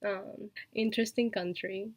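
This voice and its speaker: A woman from Colombia with a Colombian Spanish accent, a Spanish native speaker, talking in a casual personal vlog, hesitant and full of fillers and soft laughs. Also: medium pitch, steady tone, unhurried, dry recording. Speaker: vlogger and online shop owner.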